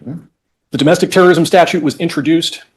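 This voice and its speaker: A man speaking as a lawyer in court, methodically walking a judge through legal history in an explanatory, slightly halting way. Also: fairly high pitch, fairly even intonation, a little hiss or hum.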